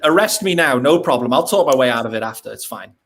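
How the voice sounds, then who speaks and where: A man from Wales, a podcast guest in cheerful, chatty conversation with quick asides. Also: mid-range voice, expressive, a bit bright. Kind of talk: casual chat.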